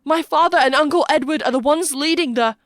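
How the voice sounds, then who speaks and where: A woman's voice: high-pitched, a little fast, a bit bright, dry recording, voice pushed up. A desperate young nobleman held prisoner, acted by a woman reader. The voice is raised and strained, shaking as it pleads urgently, in storybook style. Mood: frightened. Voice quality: shaky, strained.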